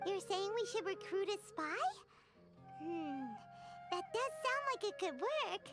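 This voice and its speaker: An extremely high, childlike fairy from a video game. It is squeaky, blunt and cheeky, swinging widely in pitch. Music plays loudly behind. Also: female voice, very animated, takes it slowly, heavily acted. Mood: curious. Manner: cheeky, talks in third person. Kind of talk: scripted video game dialogue.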